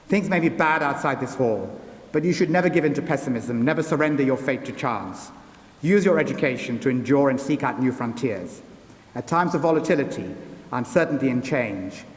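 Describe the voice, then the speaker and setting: A man whose first language is Gujarati, a senior academic delivering a formal, scripted graduation address in measured, oratorical phrases in a large hall. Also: medium pitch. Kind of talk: formal ceremonial speech, scripted. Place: large ceremonial university hall. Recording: echoey large hall, long reverb.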